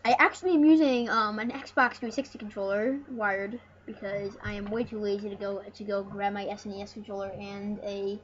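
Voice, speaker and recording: A woman's voice: medium pitch, fairly even intonation, a little fast, hardly any pauses. A woman hosting a let's play, rambling and joking with casual swearing as she comments and reads game dialogue aloud. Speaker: let's play host and player. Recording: muffled lo-fi mic with background noise.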